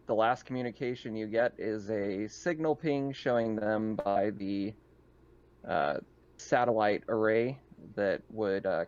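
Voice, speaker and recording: Low-voiced man running a game, dull sound. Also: a little mellow. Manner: halting, improvised phrasing. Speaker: game master. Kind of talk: narrating a tabletop game.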